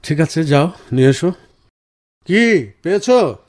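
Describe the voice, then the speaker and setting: A man playing a caring, reassuring husband in a radio drama, speaking in an earnest, measured way. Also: fairly high pitch, sing-song, a little mellow, no echo, studio quality. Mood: reassuring, firm. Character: caring, reassuring husband, senior professional, firm but gentle. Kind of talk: Bengali radio drama acting.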